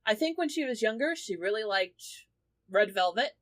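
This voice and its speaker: A woman with a very bright, forward voice chats casually. She talks emphatically and swears freely, like a player among others at a tabletop podcast. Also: fairly high pitch, lively intonation, normal speed. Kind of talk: casual chat.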